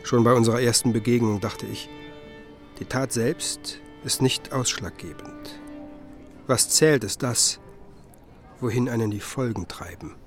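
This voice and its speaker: A reflective male scholar played by an actor narrates a radio play in a measured way. His medium-pitched voice recalls the past over clearly audible music. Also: close to an everyday voice. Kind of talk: literary radio drama narration.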